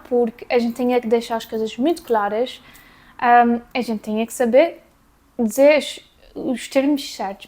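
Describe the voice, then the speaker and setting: Bright-voiced Azorean woman vlogging. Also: a little high. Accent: Azorean Portuguese mixed with North American English. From Azores, São Miguel. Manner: rambling, self-correcting, with bursts of laughter. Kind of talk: casual talk to camera.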